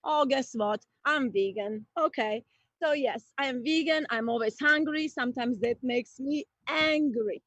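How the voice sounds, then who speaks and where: A woman from Croatia performs a playful stand-up set in a high, bright-sounding voice. She talks slowly and deliberately, then bursts into excitement. The audio is dry and a little dull, like a home streaming mic. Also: no echo. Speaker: stand-up comedian.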